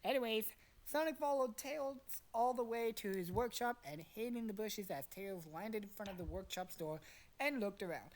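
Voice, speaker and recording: A woman's voice: mid-range voice, normal speed, a little mellow, slight background noise, rough recording. A young woman narrating fan fiction in a dramatic but casual, jokey storytelling style. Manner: dramatic storytelling, profane asides. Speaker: narrator and podcast cast member.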